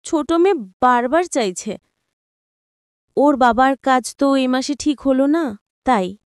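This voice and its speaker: A humble, worried village mother in a cartoon story, plaintive in manner. Her voice is smooth and fairly high, slow, with a dry sound. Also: no room sound. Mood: pleading. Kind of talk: Bangla cartoon story dubbing.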